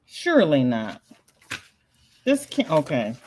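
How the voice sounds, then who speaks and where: A woman hosts a home livestream in a slow, unhurried drawl that sits a little low. She chats and rambles, sounding somewhat congested and stopping often to restart her sentences. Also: huge pitch swings, a little mellow, a little hiss or hum. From American South. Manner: rambling, chatty, self-interrupting with repeated starts. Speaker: crochet livestream host. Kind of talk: casual chat reading viewer comments.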